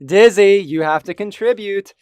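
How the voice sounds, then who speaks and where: A man's voice: high-pitched, moderate pace, darkish tone, clear, resonant tone, no room sound. A man co-hosting a gaming podcast, teasing his friends and rambling with lots of fillers. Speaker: podcast co-host. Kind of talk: casual gaming podcast banter.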